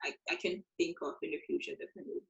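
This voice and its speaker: A woman's voice: sits low, fairly even intonation, very fast, vocal fry, roomy sound, lo-fi sound. A woman from Congo, a guest language teacher, talking casually on a video call, hesitant and often repeating her words.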